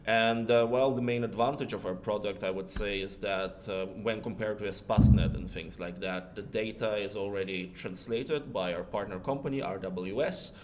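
A young man from Russia and Sweden gives casual interview answers as a guest. His voice is fairly low, and a clear rumbling noise sits behind it. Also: moderate pace, few pauses. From born in Russia, grew up around Europe, partly Sweden. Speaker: guest, patent research client trainer.

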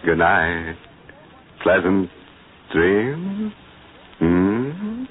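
Deep, slow, ghoulish radio horror host. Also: male voice, huge pitch swings, breathy edge, full character voice. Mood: macabre, teasing. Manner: sardonic, drawn-out, ghoulish. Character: macabre, gleeful male horror show host. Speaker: host of a radio mystery show. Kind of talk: 1940s radio horror hosting. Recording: muffled, noisy old-time radio recording.

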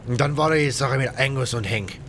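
A man voicing a rough, chatty pirate in a game audio drama, rambling in coarse colloquial speech with grudges. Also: normal speed, a bit bright, natural voice. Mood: gruff, aggrieved. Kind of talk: acted game dialogue, colloquial storytelling. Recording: faint rumbling background noise.

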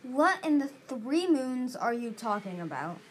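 A woman's voice: high-pitched, unhurried, a little hiss or hum. A woman voicing a stubborn young male dragon in a story reading, growling and dismissive. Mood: scornful. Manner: growling, flatly scornful. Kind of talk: audiobook-style character reading.

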